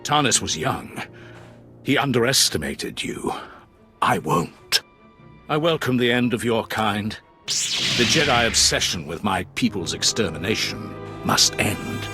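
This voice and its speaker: A villainous Sith lord of stern honor, low in pitch and formal in delivery. His measured, dramatic game dialogue moves slowly over prominent music. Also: lively intonation, bright, forceful tone, exaggerated voice. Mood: stern, resolute. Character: grave, honor-bound Sith warrior lord, a male villain. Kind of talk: dramatic video game acting.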